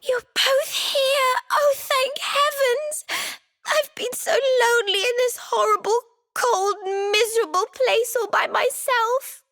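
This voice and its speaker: Very high, cruel ghostly little girl. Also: fairly even intonation, takes it slowly, fairly bright tone, full character voice. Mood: tearful relief. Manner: sugary, taunting, wheedling. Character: false phantom of a dead young girl, sweetly cruel. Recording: background music under the voice.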